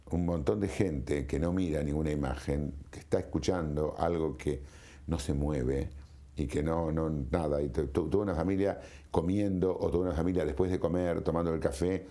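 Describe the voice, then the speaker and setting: A man in his eighties reminisces in a reflective, halting way, repeating phrases. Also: a bass voice, mellow. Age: 80s. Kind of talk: reminiscing in an interview.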